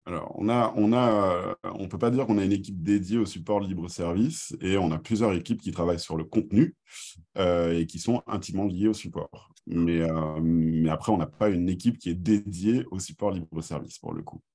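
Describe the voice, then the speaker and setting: A man giving an informal business presentation in a hesitant, halting way with many filled pauses. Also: deep voice, dark tone. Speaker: workshop presenter.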